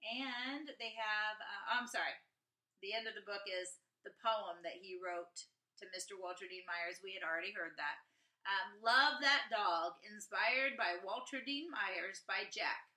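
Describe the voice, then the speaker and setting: A woman teacher giving an expressive read-aloud lesson, speaking directly and encouragingly to young listeners. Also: mid-range voice, measured pace. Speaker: teacher reading aloud to students. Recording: echoey room.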